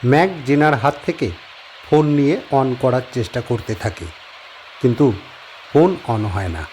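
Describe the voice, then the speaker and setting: A man narrating a horror audio story in a measured storytelling manner. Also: mid-range voice, darkish tone, slight background noise.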